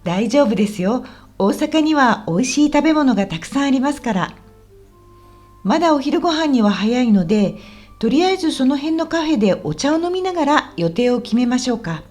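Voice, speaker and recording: A woman playing a friendly, helpful local guide in a scripted language-lesson radio drama, explaining politely and clearly. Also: high-pitched, big pitch swings, brisk, a little mellow, faint music behind. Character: friendly, helpful woman guiding a visitor around Osaka.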